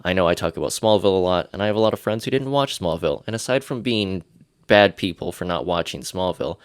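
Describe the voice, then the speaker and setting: A man's voice: sits low, animated, fast talker, smooth, dry recording, clean studio sound. A man hosting a solo podcast, congested from his sinuses, rambling and joking at himself. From Irish. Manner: rambling, self-deprecating, jokey. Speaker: podcast host.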